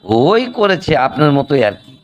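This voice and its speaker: A man's voice: a little high, sing-song, normal speed. A man voicing a dry, sarcastic private tutor in a comedy cartoon. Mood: wry. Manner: dry, teasing.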